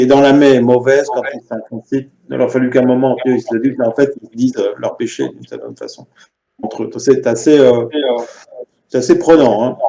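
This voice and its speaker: A man's voice: mid-range voice, vocal fry, mellow, rough recording. Hesitant man hosting a video call. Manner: hesitant, joking, many fillers. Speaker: workshop host. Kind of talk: casual video-call chat.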